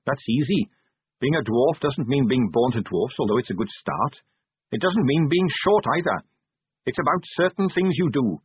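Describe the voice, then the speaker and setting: An earnest young police captain from a storybook, acted by a man, deferential and keen to explain. The pitch moves around a lot, the voice is a little husky, and the sound is thin and narrow like a phone. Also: dry recording. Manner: respectful, eager, explanatory. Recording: narrow-band, phone-like audio.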